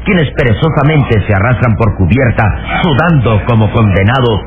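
A man's voice: mid-range voice, animated, moderate pace, crowd noise. A man giving dramatic scripted narration for a radio adventure serial. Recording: tinny old radio, music behind.

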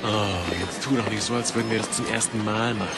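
A man's voice: fairly low pitch, steady tone, fairly quick, creaky. A man playing a hot-headed, indignant adventurer with a sword in a fantasy audio drama. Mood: grumbling. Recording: music and crowd bustle behind.

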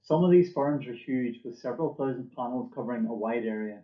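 A man giving a scripted product presentation for a company, in a measured, formal manner, recorded at a desk in a small room. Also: medium pitch, reverberant, muffled recording. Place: small indoor office or lab room.

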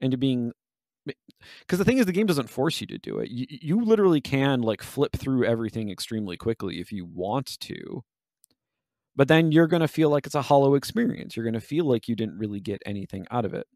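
A man's voice: medium pitch, very animated, a little fast, a little mellow, dry recording, studio quality. A man hosting a podcast, talking through game analysis in a wordy, analytical way and often rephrasing himself mid-sentence. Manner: analytical, wordy, hesitant self-interrupting phrasing. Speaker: podcast host.

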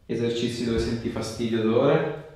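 A man's voice: mid-range voice, fairly even intonation, normal speed, darkish tone. A man, working as a chiropractor, giving short encouraging instructions and questions while chatting casually with a patient. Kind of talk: casual hands-on treatment chat with a patient. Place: small bare treatment room, indoors. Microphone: camera a few steps away. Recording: very echoey room, distant mic, light noise.

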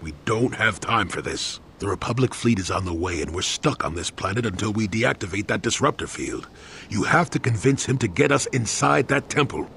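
An actor playing a practical soldier companion in a video game, earnest and urgent. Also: male voice, fairly low pitch, expressive, normal speed, gravelly, bright, forceful tone, slight background noise, heavily acted. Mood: impatient, wary. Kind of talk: video game cutscene acting.

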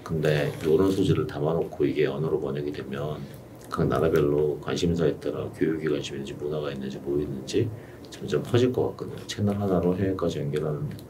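A man's voice: very deep voice, steady tone, fast. A middle-aged man coaching a client one-on-one in a small studio room, explaining steadily and patiently. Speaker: YouTube coach. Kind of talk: one-on-one consulting advice. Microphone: desk mic on stand, heard from a distance. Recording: distant mic with faint room noise.

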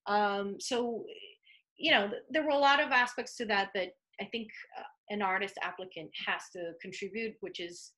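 Bright Serbian-accented woman on a video call. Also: mid-range voice. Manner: halting, with many fillers and restarts. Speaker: art commissioner. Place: small home office. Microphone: video call webcam.

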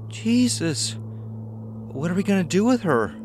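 A man's voice: high voice, big pitch swings, unhurried, background noise, voice pushed up. A man voicing a shocked chubby accountant in his fifties for a horror audio story.

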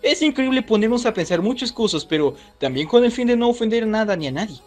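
A man with a high, very animated voice hosts a YouTube commentary. He is chatty and speaks straight to his viewers, with music playing behind him. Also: fast talker. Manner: chatty, addresses viewers directly. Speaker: YouTube host. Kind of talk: casual scripted video commentary.

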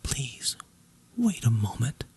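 A storyteller voicing a smitten young prince in a bedtime fairy tale, earnest and pleading, in a breathy whisper. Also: medium pitch, sing-song, slow pace, dark tone, full character voice.

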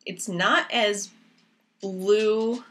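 A young woman hosting a knitting podcast from home, chatting casually to her laptop with lots of ums, her voice a little raspy from a lingering cough. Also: mid-range voice, steady tone, very slow, a bit bright. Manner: chatty and rambling, with many ums. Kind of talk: casual chat to camera. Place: small room at home, indoors. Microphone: laptop camera mic. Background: rain outside.

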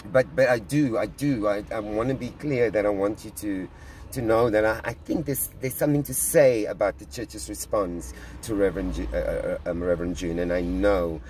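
A man's voice: on the low side, a little mellow, slight background noise. A middle-aged man with a South African accent, recording himself in a car with his phone and talking earnestly about church news, often stopping and repeating words as he thinks. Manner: earnest, halting, many restarts and repeated words. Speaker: vlogger commenting on church news. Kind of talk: casual spoken commentary. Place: inside a parked car. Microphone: phone camera held at arm's length.

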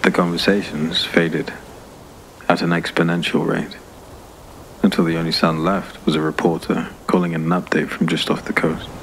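A man narrating a scripted dark fantasy story as an audiobook narrator. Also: sits low, level tone, moderate pace, poor audio. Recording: clear background ambience noise.

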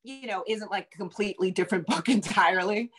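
A woman's voice: medium pitch, fast, a bit bright, husky. A middle-aged American woman talking casually as an interview guest on a video call, thinking aloud as she goes. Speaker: guest novelist. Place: indoor room at home, video call.

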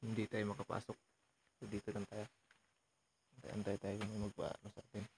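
A man's voice: on the low side, completely flat delivery, brisk, gravelly. A young man giving casual commentary as he explores outdoors at night for a vlog. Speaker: vlog host, ghost hunter. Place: outdoors at night around an abandoned building. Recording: noisy outdoor recording with insects.

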